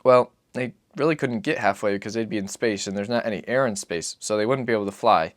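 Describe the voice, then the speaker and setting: A man reading as a weary, patient father who gives terse, measured answers in an audiobook. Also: fairly low pitch, no room sound, clean studio sound, close to an everyday voice. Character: weary, patient father, guarded survivor answering his son.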